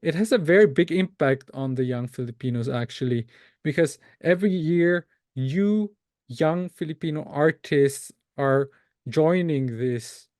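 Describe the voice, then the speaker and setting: A man from Austria whose first language is Tagalog, answering interview questions slowly, with many fillers and self-corrections. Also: on the high side, expressive, darkish tone, no room sound, clean studio sound. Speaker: researcher and author, interview guest.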